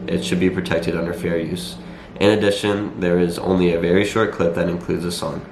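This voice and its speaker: A young man with a slightly raspy voice and a cold, explaining things to viewers in a measured, matter-of-fact vlog style. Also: low voice, darkish tone. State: has a cold. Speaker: vlogger. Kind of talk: talking to camera, explanatory vlog. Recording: echoey room, faint background noise.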